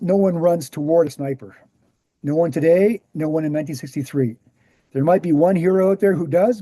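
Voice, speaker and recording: A man playing an earnest researcher in a screenplay table read, explaining things in a measured, assured way. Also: on the high side, warm tone, no room sound, natural voice. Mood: assertive. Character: Gary, earnest male presenter, calm debunking researcher.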